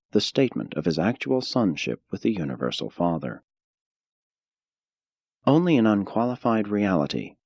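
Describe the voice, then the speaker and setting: A man narrating an audiobook from a script in a measured, formal, solemn manner. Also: lowish voice, darkish tone, dry recording, muffled recording.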